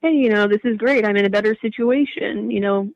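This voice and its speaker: A woman's voice: medium pitch, brisk, no room sound, highs cut off. A young adult woman telling her personal story casually as an interview guest.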